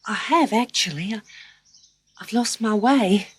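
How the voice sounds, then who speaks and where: A woman's voice: medium pitch, unhurried, husky, dry recording, voice pushed up. A woman voicing a shy, bewildered little girl in a children's storybook, hesitant and mumbling.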